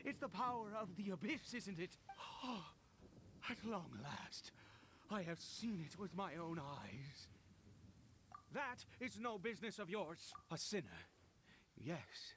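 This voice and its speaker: An actor playing a deranged, fanatical noble cult founder in a video game, grandiose and gloating in manner. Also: male voice, a little high, fairly bright tone, slight background noise. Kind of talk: dramatic video game voice acting.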